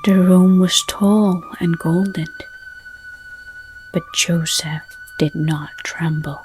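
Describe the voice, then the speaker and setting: The woman on a sleep-story track late at night, lowish voice, gently murmuring each phrase very slowly over music. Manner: gentle, soothing, hushed, unhurried phrasing. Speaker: narrator. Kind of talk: slow bedtime story narration. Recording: soft music under the voice. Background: background music.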